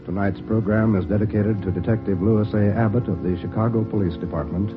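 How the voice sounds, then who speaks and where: A male announcer giving a slow, solemn, measured closing announcement. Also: on the low side, fairly even intonation. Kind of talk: formal broadcast announcing. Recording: dull lo-fi vintage radio with music.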